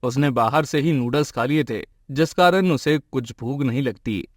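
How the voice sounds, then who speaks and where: Casting: male narrator for moral tales, mid-pitched voice, scripted storytelling, very dry recording. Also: normal speed, no room sound.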